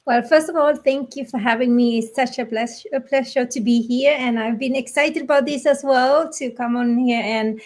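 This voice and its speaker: A woman's voice: fairly high pitch, moderate pace, hardly any pauses, a little mellow. A middle-aged woman from Vietnam casually sharing her personal story as a guest on a live video call. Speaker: guest, business coach and part-time teacher.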